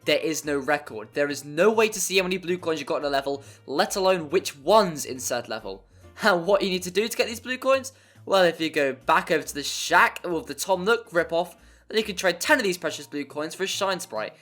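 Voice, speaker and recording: A man's voice: a little high, lively intonation, brisk, a bit bright. Wry man narrating over music. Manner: wry, jokey, given to exaggeration. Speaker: narrator of a video game review. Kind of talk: scripted video essay narration.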